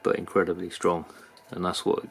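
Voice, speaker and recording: A man with a British accent giving a casual, unscripted product review in a halting manner. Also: low-pitched, level tone, creaky, a little hiss or hum. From United Kingdom. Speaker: knife reviewer. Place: indoors at a workbench.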